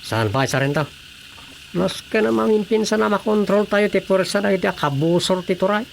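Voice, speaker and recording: A man's voice: sits high, expressive, fairly quick, gravelly, a little hiss or hum. A man with an Ilocano accent playing a resolute, authoritative army officer in a radio drama. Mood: firm, commanding. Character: resolute army officer giving orders to his men.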